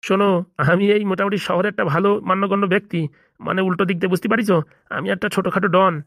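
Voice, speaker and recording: Man playing a rapid-talking cartoon gangster boss. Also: high voice, level tone, fairly bright tone, no room sound, clean studio sound. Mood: boastful, firm. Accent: Bengali. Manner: bossy, businesslike, repeatedly seeking agreement. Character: blunt, self-important small-time gangster boss, a father. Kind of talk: comedy cartoon dubbing.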